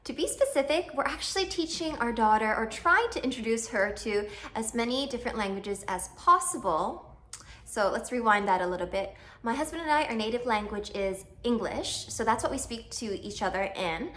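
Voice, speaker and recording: A woman's voice: a little high, animated, moderate pace, fairly bright tone. A woman in her thirties from Canada, chatting casually to camera in a vlog, self-deprecating and full of fillers. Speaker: vlogger.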